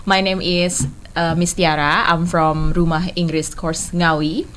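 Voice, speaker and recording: Friendly radio host woman, lowish voice. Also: little pitch movement, measured pace, fairly bright tone. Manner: friendly, prompting with frequent mm-hmms. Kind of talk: casual radio chat.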